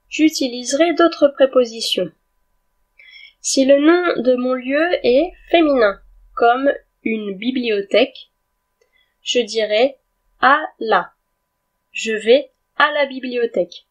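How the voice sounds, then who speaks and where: A woman teaching a grammar lesson in a clear, deliberate way, spelling words out letter by letter. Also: sits high. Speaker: French teacher. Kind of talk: slow scripted grammar lesson.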